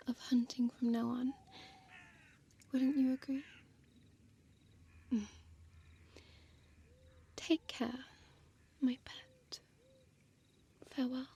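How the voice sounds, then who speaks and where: Slow, breathy seductive vampire woman, acted by a woman. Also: sits high, mellow, full character voice. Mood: teasing, predatory. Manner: slow, teasing, breathy half-whisper, archaic wording. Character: seductive, menacing female vampire innkeeper toying with prey. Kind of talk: intimate audio roleplay acting.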